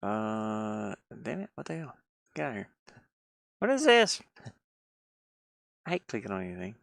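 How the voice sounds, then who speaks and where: A man talks off the cuff on a casual livestream, his voice full of creaky fry. He takes his time, muttering to himself and wandering between thoughts in folksy, colloquial phrasing. The sound is close and dry. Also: on the low side, huge pitch swings, measured pace, a bit bright, no echo. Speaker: livestreaming gamer and hobby game developer living in a van. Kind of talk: casual unscripted livestream chat. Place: inside a van.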